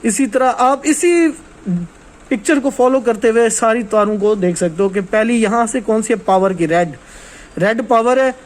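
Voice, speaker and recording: A man's voice: extremely high pitch, moderate pace. A man giving an informal hands-on technical lesson as a trainer, explaining step by step and often checking that listeners follow.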